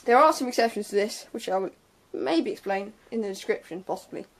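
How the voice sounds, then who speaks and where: A woman's voice: medium pitch, animated, a little fast. A young woman with a mid-range voice presents a casual technical how-to. She talks off the cuff, hesitating often and tacking on offhand asides that trail away. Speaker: sound tech tutorial presenter. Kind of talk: informal unscripted hands-on tutorial.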